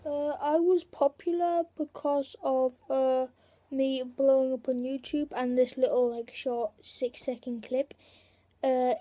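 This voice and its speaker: High-pitched young woman, slow and rambly, keeps restarting, comedy skit vibe. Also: warm tone. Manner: rambling, halting, many fillers and restarts. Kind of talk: comedic sketch monologue in character.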